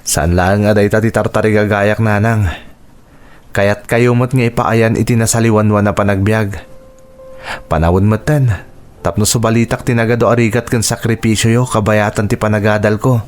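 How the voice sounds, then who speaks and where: A man's voice: on the low side, fairly even intonation, moderate pace, lightly acted. A man playing an earnest, dutiful young son and sweetheart in a radio drama, speaking sincerely.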